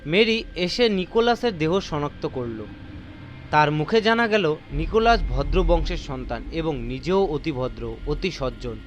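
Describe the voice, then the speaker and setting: A man's voice: on the high side, expressive, unhurried, a little hiss or hum. A man narrating a mystery story in a theatrical, suspenseful, scripted style. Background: faint music.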